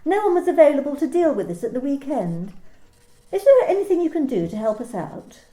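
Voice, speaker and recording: An actress playing a smooth, coolly polite corporate manager who talks in bland, bureaucratic phrases. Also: female voice, sits high, very animated, a little fast, warm tone, echoey room. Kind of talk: amateur radio play reading.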